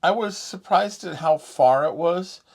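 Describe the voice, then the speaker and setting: A man's voice: high voice, a little slow, vocal fry, darkish tone. An American man co-hosting a casual product review, with laid-back, joking phrasing. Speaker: co-host reviewing RV gadgets.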